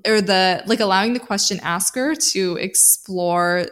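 A woman's voice: medium pitch, unhurried, a bit bright. Laid-back woman host, rambling questions with casual swearing. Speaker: podcast host. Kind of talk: casual interview.

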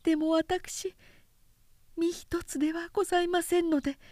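A meek young samurai woman , acted by a female narrator, in a period storybook. Her voice is raised high and kept soft, formal and demure, with quiet determination. The sound is dry. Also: flat delivery, normal speed, no room sound. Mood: meek, subdued.

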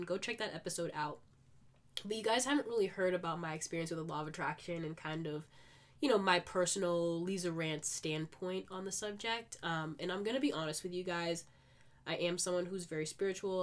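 A woman hosting a podcast solo with a congested, stuffed-up voice, chatting casually and informally in an upbeat way. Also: on the low side. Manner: chatty, informal, frequent 'like' and 'you know'; upbeat, positive. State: sick with a cold. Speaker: podcast host.

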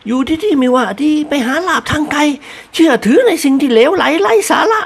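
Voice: animated, a little fast, gravelly. ผู้อ่านดัดเสียงเป็น คุณหญิงสูงวัยปากจัด เสียงแหลมสูง. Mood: scolding. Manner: scolding, exclaiming, high and shrill. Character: sharp-tongued elderly noblewoman, bossy, scolding. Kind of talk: audiobook character voice.

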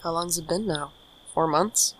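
A woman's voice: low voice, unhurried, fairly bright tone, rough recording. A woman playing a young, caring friend with dry, casual banter in an audio drama. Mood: concerned. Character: young Micah, teasing, caring friend and host. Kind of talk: naturalistic audio drama acting.

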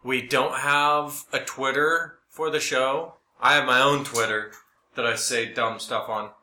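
A man's voice: medium pitch, fairly even intonation, measured pace, bright, forceful tone, echoey room, slight background noise. An American man co-hosting a casual comedy podcast with dry, self-deprecating humor. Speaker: podcast co-host.

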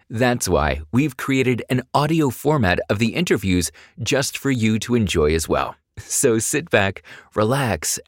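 A man's voice: medium pitch, dry recording, studio quality. A man hosting a podcast, reading an upbeat intro and then asking interview questions. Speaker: podcast host.

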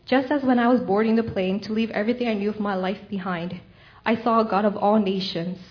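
A woman's voice: mid-range voice, lo-fi sound. Earnest young woman reading her testimony. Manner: earnest, measured, prayerful. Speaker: church member giving testimony. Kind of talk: read personal testimony and prayer.

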